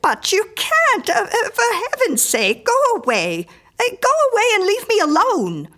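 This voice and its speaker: A woman voicing a frightened, tearful older married woman in an audiobook, halting and stammering as she speaks. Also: extremely high pitch, very animated, fairly bright tone, voice pushed up, full character voice. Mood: panicked. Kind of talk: audiobook character acting.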